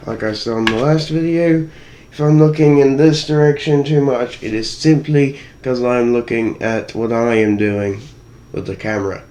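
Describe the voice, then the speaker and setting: A man's voice: medium pitch, steady tone, normal speed, a little mellow, a little hiss or hum. A man with a put-on British accent, rambling and joking while walking through a cosplay build. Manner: rambling, jokey, self-deprecating. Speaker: cosplay maker. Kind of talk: how-to tutorial vlog. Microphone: voice muffled inside a costume helmet.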